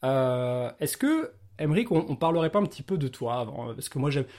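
A man's voice: medium pitch, big pitch swings, a little fast, darkish tone. Guy hosting a chill podcast, lots of ouais, mid voice. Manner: informal, frequent backchannel affirmations. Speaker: podcast host. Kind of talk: casual interview chat.

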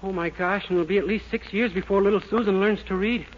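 A high, boyish voice of an innocent, slow-witted young man played by a male actor, earnest and polite in old radio comedy style. The sound is muffled and buried in heavy noise. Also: steady tone, close to an everyday voice. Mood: flustered. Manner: earnest, innocent, literal-minded. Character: naive, polite, dim-witted young man, easily confused. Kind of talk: 1940s radio comedy acting.

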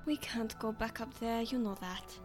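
A man's voice: very high-pitched, normal speed, music in the background, voice pushed up. A man voicing a tired, kind young female dryad in a storybook reading. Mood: weary. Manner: soft, subdued.

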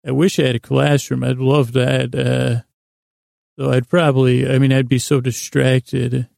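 A middle-aged American man hosting a bedtime podcast, rambling in a halting, digressive, self-deprecating way with a lulling, soothing tone. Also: mid-range voice, flat delivery, gravelly, darkish tone, dry recording, clean studio sound.

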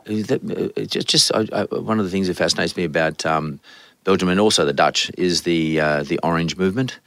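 Male host, very fast, dry close sound, loose chatty interviewer. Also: sits low, fairly bright tone, dry recording. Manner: rambling, quick, full of restarts. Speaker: podcast host. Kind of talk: casual interview chat.

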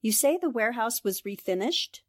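An actress playing a determined, inquisitive newspaper reporter in a 1940s radio drama, with a probing manner. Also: female voice, on the high side, animated, moderate pace, natural voice.

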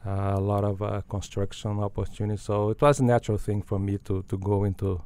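A man's voice: fairly low pitch, little pitch movement, a little fast, warm tone, clean tone, no echo. An older man from Brazil, a guest answering interview questions thoughtfully, with frequent fillers and restarts. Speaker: guest, business school dean.

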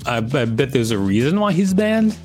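A man's voice: a little high, sing-song, a little fast, a little mellow, soft background music. A man co-hosting a podcast, reading an encyclopedia article aloud and chatting casually. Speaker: podcast co-host.

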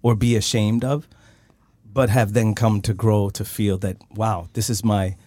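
Man, from Sweden, interview host, lowish voice, thoughtful, halting, dry. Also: flat delivery, dark tone, no room sound. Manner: thoughtful, searching for words with many fillers. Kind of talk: conversational interview.

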